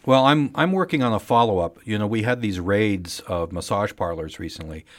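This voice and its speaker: A man's voice: on the low side, brisk. A man giving conversational news commentary as a panelist, with a blunt, emphatic and opinionated way of talking. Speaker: newspaper journalist as panel commentator. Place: radio studio.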